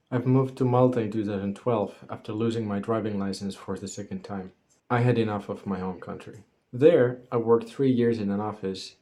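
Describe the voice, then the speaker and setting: A man's voice: fairly low pitch, dark tone. A man, earnestly narrating a scripted motivational story as a solopreneur creator. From Finland.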